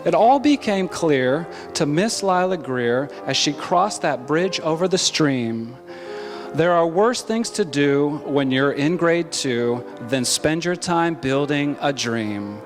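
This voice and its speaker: A man narrating a rhyming children's story in an expressive, rhythmic storytelling manner. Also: a little high, slow pace, music in the background. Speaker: story reader.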